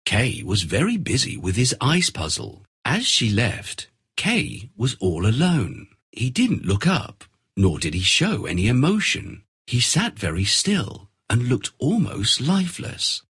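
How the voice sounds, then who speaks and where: A man reading a scripted fairy tale as storyteller, in a dramatic, expressive manner. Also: mid-range voice, unhurried, slightly rough.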